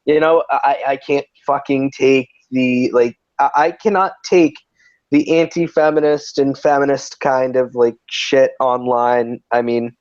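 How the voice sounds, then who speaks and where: A man's voice: medium pitch, steady tone, a little slow, darkish tone, dry recording. A man with an androgynous voice chatting on a livestream, rambling and restarting his sentences as he thinks aloud. The sound is dull and lo-fi, close and dry with no room around it. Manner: rambling, many restarts and fillers. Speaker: host. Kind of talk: casual livestream chat.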